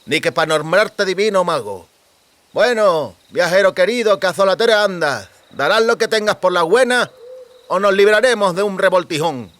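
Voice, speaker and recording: A lisping rustic highwayman, acted out by a male narrator, pitched well up. He talks in folksy dialect with mocking courtesy and open menace, as in a fantasy audiobook. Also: animated, normal speed, a bit bright, no echo. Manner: mock-polite, rustic dialect.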